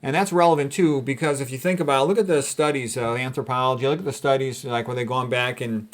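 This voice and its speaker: A man's voice: mid-range voice, steady tone, fast. A man speaking as a doctor giving an informal lecture, explaining things in a blunt, conversational way as he reads and comments.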